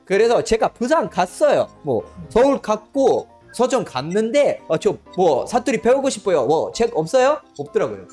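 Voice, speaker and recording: A man in his thirties from Mexico, a language coach, chatting excitedly on a video call and laughing often. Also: sits high, normal speed, few pauses, soft background music. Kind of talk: casual video chat. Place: home studio room indoors. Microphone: desk mic on arm.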